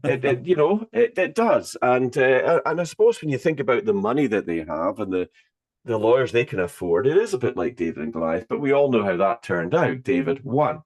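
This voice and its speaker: A man's voice: mid-range voice, expressive, a little fast. A man hosting an interview, speaking in an emphatic, rhetorical way. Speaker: interviewer, host.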